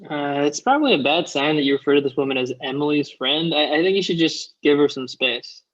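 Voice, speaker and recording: A man's voice: a little high, animated, a little fast, fairly bright tone, highs cut off. A man acting a skeptical, blunt friend at a comedy table read, questioning and wry.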